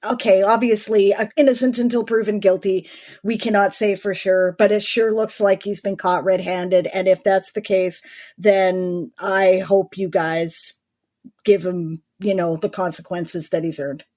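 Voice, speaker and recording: A woman's voice: mid-range voice, flat delivery, a little slow, darkish tone. A woman co-hosting a podcast, chatting with enthusiasm and laughing easily. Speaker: podcast co-host. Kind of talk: casual podcast chat.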